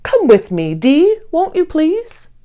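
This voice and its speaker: A pleasant, determined storybook sloth, acted out by a woman, speaks in a high, swooping voice. He is earnest and unhurried, every phrase full of expression for young listeners. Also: wildly swooping pitch, mellow, heavily acted. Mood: hopeful, determined. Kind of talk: children's storybook character reading.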